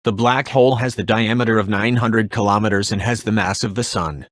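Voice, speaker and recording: A man with a fairly low voice reads an emergency broadcast. The sound is very dry, and his scripted delivery is formal and impersonal. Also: normal speed, fairly bright tone, no echo. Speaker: emergency alert announcer.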